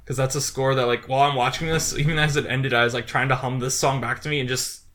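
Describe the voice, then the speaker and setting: A man co-hosting a podcast, chatting casually about films in an animated, rambling way, often acting out quotes. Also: mid-range voice, fast. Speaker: podcast co-host. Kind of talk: casual film chat.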